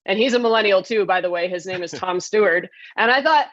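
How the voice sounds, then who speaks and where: A woman's voice: mid-range voice, fairly quick, fairly bright tone, no room sound. A woman interview guest telling a story in a casual, conversational way. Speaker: interview guest, radio play writer. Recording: slightly dull, lo-fi.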